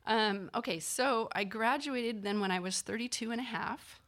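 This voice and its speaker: A woman, a physician assistant, telling a personal story in a casual, animated way, acting out the people she quotes, with lots of fillers. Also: a little high, moderate pace.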